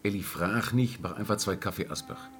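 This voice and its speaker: An actor playing a sardonic, slangy working-class pub regular in a radio drama. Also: male voice, lowish voice, brisk, a bit bright. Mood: grumbling. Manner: gruff, slangy, grumbling. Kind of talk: naturalistic radio drama acting.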